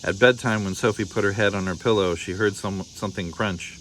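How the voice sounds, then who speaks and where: A man narrating a children's picture book in an expressive read-aloud style. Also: fairly low pitch, fairly quick, slight background noise, rough recording. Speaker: storybook reader.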